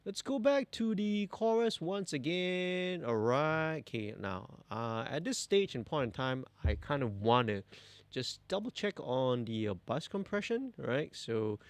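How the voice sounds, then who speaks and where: A young man, talking through a mix on a studio livestream, relaxed and thinking aloud as he works. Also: on the high side, a little slow, no room sound. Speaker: mixing engineer host. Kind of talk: livestream mixing tutorial. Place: indoor music studio.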